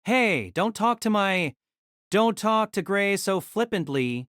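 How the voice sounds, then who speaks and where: A woman's voice: mid-range voice, animated, slow, a bit bright, dry recording, clean studio sound. A woman voicing a fierce, indignant young male student with a scolding manner in a story.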